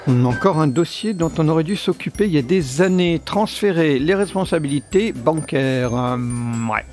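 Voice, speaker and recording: A man's voice: on the high side, expressive, normal speed, a little mellow, faint music behind, close to an everyday voice. Man playing a stubborn, theatrical company boss. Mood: evasive, annoyed. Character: stubborn, dramatic male boss of a failing company. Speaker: voice actor. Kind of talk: amateur French audio comedy drama.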